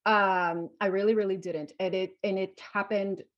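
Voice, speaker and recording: A woman's voice: mid-range voice, steady tone, moderate pace, lo-fi sound. A woman in her forties from Guatemala talking reflectively in an interview, emphatic and repeating phrases to drive points home. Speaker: interview guest. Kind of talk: reflective personal interview talk.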